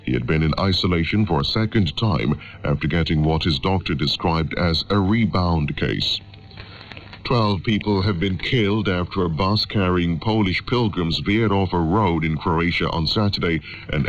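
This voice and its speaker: Deep-voiced male newsreader on muffled shortwave. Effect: shortwave radio. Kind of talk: scripted news bulletin reading. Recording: dull shortwave radio broadcast.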